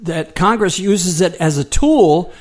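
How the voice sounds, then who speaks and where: A man's voice: sits high, unhurried. An American man co-hosting a political talk radio show, speaking conversationally and earnestly, often circling back over his phrases.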